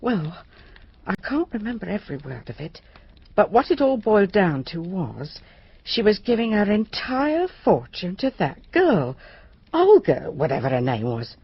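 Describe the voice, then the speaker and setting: An actress playing a deferential, anxious widowed cleaner, confiding hesitantly in a radio drama. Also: female voice, medium pitch, very animated, unhurried, slightly rough, highs cut off, full character voice. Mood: uneasy.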